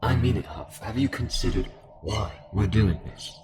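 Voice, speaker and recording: A man playing a calm, sinister cult fanatic fused with a devouring entity, taunting in measured monologue in an audio drama. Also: lowish voice, very noisy, natural voice. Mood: earnest, unsettling. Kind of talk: dramatic audio roleplay acting. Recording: music under the voice.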